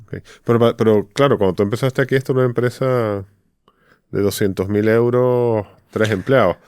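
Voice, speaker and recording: A man interviews in a conversational podcast style, pressing with direct follow-up questions. His voice is clean and resonant at a medium pitch. The sound is dry, with very little room around it. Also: steady tone, a little fast, a little mellow, smooth, dry recording.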